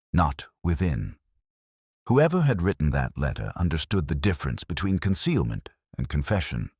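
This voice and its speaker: Male actor as melancholy detective, extremely low pitch, dry close sound, literary mystery narration, measured delivery. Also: slightly rough, no room sound, full character voice. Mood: grave, reflective. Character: brooding, melancholy male police detective narrating his case. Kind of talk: scripted first-person mystery narration.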